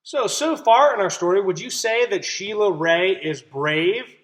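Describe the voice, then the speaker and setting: Higher-voiced man teaching through a read-aloud. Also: lively intonation, measured pace, fairly bright tone. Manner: explanatory, checks in with simple questions. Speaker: teacher reading a picture book aloud. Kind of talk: children's read-aloud lesson.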